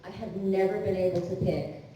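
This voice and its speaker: A woman voice actor answering fan questions on a convention panel, chatty and quick to laugh, with many restarts. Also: on the low side, fairly even intonation, mellow, a little hiss or hum. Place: large convention hall. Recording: echoey hall from far off with crowd.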